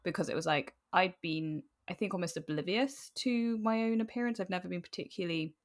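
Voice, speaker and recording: Fast-talking British woman, late twenties. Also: on the low side. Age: late 20s. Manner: chatty and informal, often quoting remembered remarks in dialogue. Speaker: podcast guest, cosplayer and writer. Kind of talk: casual, candid personal interview chat.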